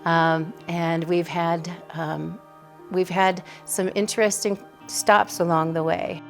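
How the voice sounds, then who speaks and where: Creaky-voiced American woman in her fifties. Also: lowish voice, flat delivery, slow pace. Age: 50s. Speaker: interviewee, broadcaster's wife. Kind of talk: reflective interview. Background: background music.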